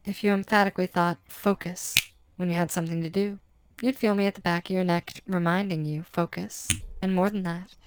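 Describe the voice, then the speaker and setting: A woman speaking as a hypnotist in an erotic hypnosis recording, slow, soft and commanding, repeating words in a lulling way. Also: fairly low pitch, fairly even intonation, smooth, dry recording, rough recording.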